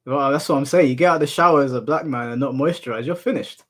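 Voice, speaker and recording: A man's voice: medium pitch, fast. Laid-back man chatting to a stream. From United Kingdom. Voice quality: monotone voice. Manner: relaxed, laughs off insults, addresses chat as bro. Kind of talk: casual livestream chat reading chat. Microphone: headphones in, desk mic.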